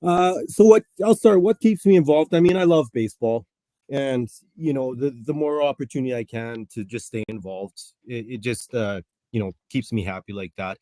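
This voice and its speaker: A man in his forties, a coach as interview guest, talking in an upbeat, relaxed way with fillers. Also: mid-range voice, normal speed, dry recording. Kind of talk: casual interview.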